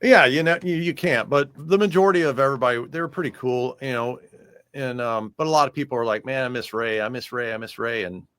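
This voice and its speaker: Interview guest: American male singer, chatty and meandering, mid-range pitch, close dry sound. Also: a little fast, fairly bright tone, dry recording. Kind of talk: casual music interview chat.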